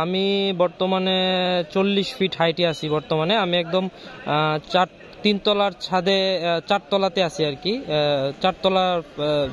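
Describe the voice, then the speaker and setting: A man with a Bangladeshi accent speaking as an amateur radio operator, hesitant and repetitive, moving between chat and call signs. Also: high voice. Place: outdoors on a rooftop.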